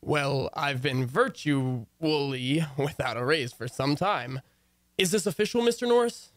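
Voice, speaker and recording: A man's voice: fairly high pitch, measured pace, no room sound, natural voice. A man playing a dry, wry radio-comedy husband. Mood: eager, polite. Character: wry, long-suffering husband and office worker, narrating his story. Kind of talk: old-time radio comedy acting.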